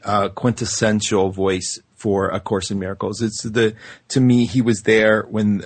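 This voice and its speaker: An enthusiastic man hosting an interview over a remote call, eager and jumping quickly between questions. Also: fairly low pitch, no echo. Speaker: host. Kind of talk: excited interview chat.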